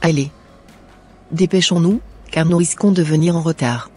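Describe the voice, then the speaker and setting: Woman voicing polite cartoon schoolgirl, husky voice, medium pitch, earnest, music behind. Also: normal speed, warm tone. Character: polite, like-minded schoolgirl daughter Teresa. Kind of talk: animated cartoon dialogue.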